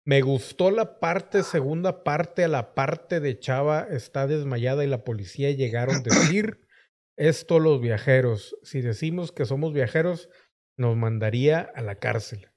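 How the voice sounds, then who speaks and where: A man's voice: mid-range voice, a little slow, a little mellow. A man with a Mexican accent hosting a live stream, rambling and joking crudely in slangy banter. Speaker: streamer host.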